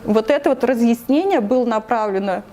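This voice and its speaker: A woman's voice: fairly high pitch, fairly quick, mellow. A woman from Tatarstan, an interview guest, explaining her view earnestly with frequent hesitations and restarts. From Tatarstan, Russia. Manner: hesitant, many fillers and restarts. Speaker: interview guest, anti-corruption committee member.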